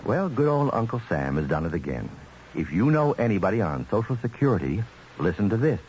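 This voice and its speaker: A man's voice: lowish voice, very animated, a little fast, darkish tone. A man reading a scripted, folksy radio commercial as an announcer. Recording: muffled old radio, faint hiss.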